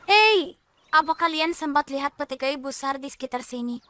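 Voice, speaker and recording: A woman's voice: sits high, normal speed, sharp tone. A woman voicing a friendly, helpful, childlike furry moon creature with a cute, eager manner in a children's cartoon.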